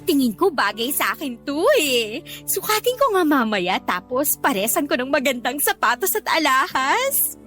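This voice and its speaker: A very high, bright female voice plays a vain, whiny young girlfriend who loves to bicker. She is sassy and theatrical in radio drama style, with music underneath. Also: sing-song, moderate pace. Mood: smug, catty. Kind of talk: Tagalog radio drama acting.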